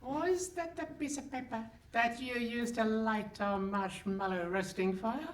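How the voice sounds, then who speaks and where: Woman playing a high, naive boy scout. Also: measured pace, natural voice. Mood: puzzled. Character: naive, indignant boy scout, squeaky and earnest. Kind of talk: comic live radio play acting. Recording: noisy live hall stage recording.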